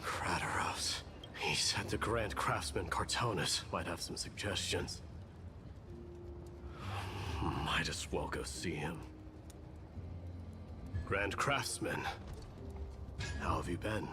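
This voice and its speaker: An actor playing a brooding, proud young warrior prince in a dramatic video game scene. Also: male voice, low voice, takes it slowly, long pauses, in a whisper. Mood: solemn, conflicted. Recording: game audio under loud music. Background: loud music.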